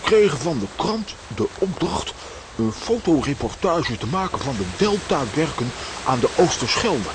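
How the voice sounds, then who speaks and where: A man's voice: on the high side, sing-song, normal speed. An actor playing a bumbling, grandly enthusiastic cartoon man in a children's audio drama, pompous and theatrical. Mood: grandly admiring. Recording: noisy audio drama recording, hissing ambience.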